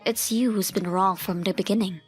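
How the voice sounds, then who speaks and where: A woman playing a firm, principled mother in a manga dub, speaking in a stern, measured, matter-of-fact way. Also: mid-range voice, fairly even intonation, lightly acted. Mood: stern, reproachful. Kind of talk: manga dub dialogue and first-person narration. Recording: music behind the voice.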